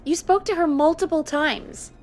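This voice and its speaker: A woman plays a radio producer in a video game, dry and bossy with sardonic teasing. The voice is fairly high, and faint music plays behind it. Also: moderate pace, natural voice. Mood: sardonic, impatient.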